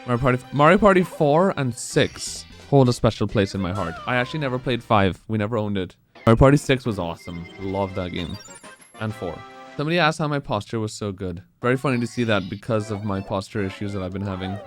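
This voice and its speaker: A man's voice: on the low side, lively intonation, quick pace, faint music behind. A man in his twenties speedrunning on a live stream, rambling casually and answering chat between moves.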